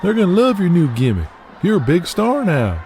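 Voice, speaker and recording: A man's voice: sits high, sing-song, fairly quick, darkish tone, a little hiss or hum, pitched above the performer's own voice. A man voicing a chipper, naive male wrestler who reassures in an upbeat, rambling way in an audio drama. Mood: cheery, reassuring.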